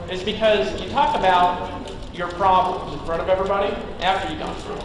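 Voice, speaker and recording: A man giving a casual motivational talk on a stage in a large event room, rambling and punchy, often tagging "right?", while his voice is getting hoarse. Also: sits high, fairly even intonation, brisk, a crowd in the background. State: losing his voice. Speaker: motivational speaker. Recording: echoey hall from far off, noisy.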